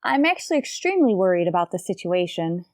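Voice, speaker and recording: An actress playing a wry, quick-witted high school teacher in an old-time radio comedy, arch and polite in manner. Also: female voice, big pitch swings, no room sound, clean studio sound. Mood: worried. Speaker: lead actress.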